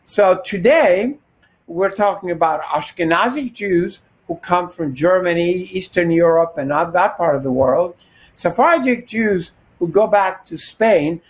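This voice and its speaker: An older man from Turkey, a rabbi, giving measured, scholarly explanations as an interview guest. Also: sits high, expressive, husky.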